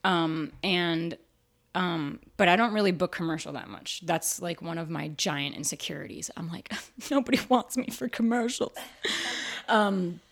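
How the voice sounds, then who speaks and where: A young woman with a raspy, husky voice that sits a little low for a woman. She talks candidly and casually about her work, full of fillers and asides, as a guest in an interview. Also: a little slow. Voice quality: raspy, fairly deep voice. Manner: candid and chatty, with frequent fillers and laughs.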